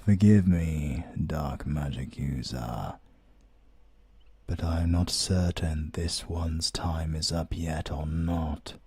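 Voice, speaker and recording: A British man playing an ominous, courteous interdimensional being in an audio roleplay, speaking in a formal, measured, detached way. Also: rumbling low, a little mellow, breathy edge, no echo, heavily acted. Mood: formal, grave.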